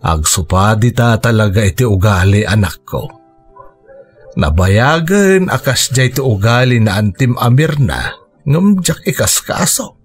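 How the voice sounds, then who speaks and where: A man playing a gentle, forgiving middle-aged father with a husky voice, acted for Ilocano radio drama with a Filipino accent. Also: medium pitch, lively intonation, a little slow, soft background music, natural voice. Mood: resigned, soothing.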